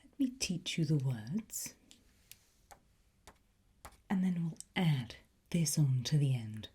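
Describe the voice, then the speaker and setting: Woman doing whispery asmr, low soft mellow voice, super slow, sly demon teacher coaxing you along. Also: expressive. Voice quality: breathy, mostly whispered. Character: sly, patient demonic Latin teacher, softly menacing. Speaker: ASMR roleplay performer playing a teacher.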